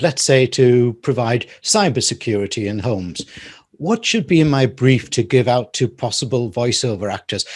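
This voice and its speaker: A man's voice: medium pitch, slightly rough. A man hosting a business interview show, asking questions in a chatty way with tag questions and light asides.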